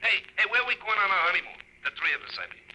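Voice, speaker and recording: An actor playing the brash ghost of a train-hopping hobo in a comedic 1950s radio play, chummy and needling in folksy slang. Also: male voice, high-pitched, huge pitch swings, racing, sharp tone, full character voice. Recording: noisy old radio recording.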